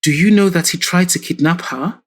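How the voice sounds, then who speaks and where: A woman voicing a patient grown daughter who reasons calmly and firmly with her father. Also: on the low side, moderate pace, breathy edge. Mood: coaxing. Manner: calm, coaxing, reasoning. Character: grown daughter, patient and firm, fashion designer.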